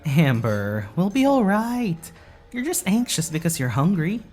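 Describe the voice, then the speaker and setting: A woman's voice: on the low side, very animated, a little slow, darkish tone, faint music behind. A woman playing an easygoing, hungry, reassuring traveller in an audio drama, with a laid-back, casual manner.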